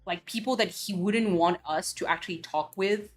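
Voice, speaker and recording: A woman's voice: on the low side, normal speed, soft background music. A woman from Belgium, a streamer chatting casually and ranting bluntly with cursing and many restarts. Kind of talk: casual chat.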